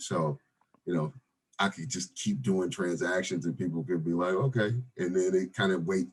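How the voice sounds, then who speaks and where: A man around forty with a deep voice, talking casually as a guest on an interview podcast, with many fillers and restarts. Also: breathy edge. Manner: laid-back, many fillers and restarts, says "brother" and "right?". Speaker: real estate investor guest.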